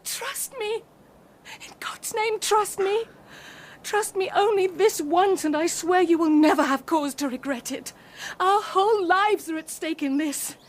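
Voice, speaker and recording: Anxious period wife, extremely high pitch, halting breathless delivery, secretive, radio drama. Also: female voice. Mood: desperate, pleading. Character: anxious, secretive wife pleading with her husband.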